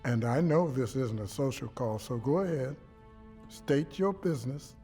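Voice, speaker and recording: An actor playing a shrewd, measured resistance leader who speaks formally and deliberately in a video game. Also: male voice, mid-range voice, animated, warm tone, soft background music, natural voice. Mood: grave, measured. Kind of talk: cinematic video game acting.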